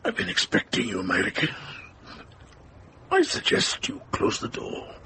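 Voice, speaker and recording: A man playing a wise, wry older medieval monk in a radio drama, composed and unhurried in manner. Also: fairly low pitch, wildly swooping pitch, noisy background.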